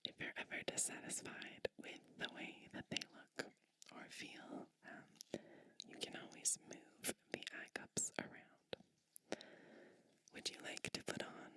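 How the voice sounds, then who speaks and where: A woman whispering gently and attentively as a kindly witch in an ASMR bedtime roleplay, soothing the listener. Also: measured pace, low-quality recording. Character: kindly witch preparing a guest for bed.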